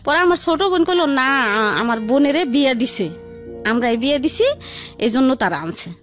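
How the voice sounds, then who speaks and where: A woman's voice: high-pitched, expressive, moderate pace, soft background music. A woman telling her life story in a rambling, repetitive, conversational way. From Barisal region, Bangladesh. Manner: rambling, repetitive, colloquial narration.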